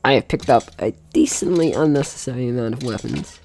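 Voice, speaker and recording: A man's voice: medium pitch, fairly quick, darkish tone. A man doing casual let's play commentary, playfully thinking aloud and exclaiming as he goes. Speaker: gaming video host.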